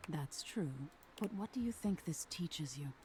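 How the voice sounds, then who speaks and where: A woman voicing an eerie nightmare spirit in a video game, telling a soft, ominous tale in a hushed, whispery voice. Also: fairly low pitch, animated, noisy background. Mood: gentle. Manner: slow, soothing, ominous storytelling. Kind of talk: game character narration.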